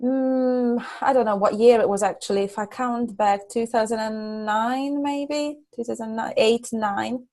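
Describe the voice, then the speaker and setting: A middle-aged woman with a Hungarian accent talks as a guest coach in a relaxed interview. Her voice sits a little high. She speaks earnestly, often halting and restarting her sentences. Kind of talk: casual interview chat.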